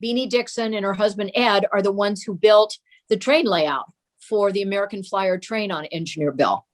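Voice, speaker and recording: A woman's voice: mid-range voice, moderate pace. A mature woman reminiscing about family memories as a guest in an interview. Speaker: guest recalling her father.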